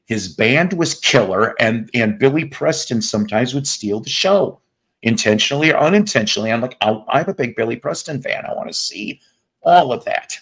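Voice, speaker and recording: A man with a raspy, hoarse voice hosting a music podcast, joking and rambling through tangents in casual chat. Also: medium pitch, animated, normal speed, a bit bright, poor audio. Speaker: podcast host.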